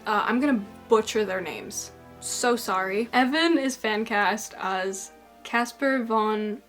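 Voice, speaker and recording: Chatty young woman, slightly raspy voice. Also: fairly high pitch, a bit bright. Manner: rambling, self-deprecating, giggly asides. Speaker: video essay creator. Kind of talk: casual chatty video essay.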